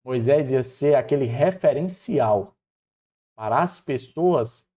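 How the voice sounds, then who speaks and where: Man, Northeastern Brazilian accent, soft-edged mellow tone, informal Bible teaching, expressive storytelling. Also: medium pitch, slow. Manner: expressive, acts out dialogue colloquially, rhetorical questions. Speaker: Bible lesson presenter.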